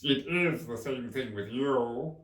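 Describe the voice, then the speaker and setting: Drawling, grumbling eccentric hatter. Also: male voice, medium pitch, a bit bright, echoey room, far from the mic, voice pushed up. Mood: blunt, contrary. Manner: slow, emphatic, grumbling. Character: grumbling, contrary, eccentric male Hatter in storybook.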